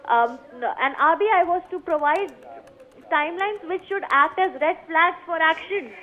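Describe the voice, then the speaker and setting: High-pitched Indian woman reporter, phone-like sound. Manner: halting, formal delivery. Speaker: news reporter. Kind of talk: live news report, reading out recommendations.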